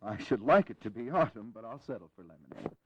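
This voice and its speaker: Earnest, animated middle-aged delegate. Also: male voice, mid-range voice, husky, dry recording, highs cut off. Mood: formal. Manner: formal, measured wording. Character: earnest, diplomatic colonial delegate in late forties. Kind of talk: audio drama acting.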